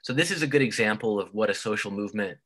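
A man's voice: lowish voice, lively intonation, fast talker, fairly bright tone. A man giving a university lecture over slides, explaining at length and often restarting with repeated words. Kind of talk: recorded online lecture.

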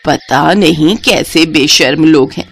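A woman's voice: low voice, takes it slowly, gravelly, husky, voice pushed down, full character voice. Woman acting a sneering neighbourhood gossip. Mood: scornful. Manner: sneering, judgmental.

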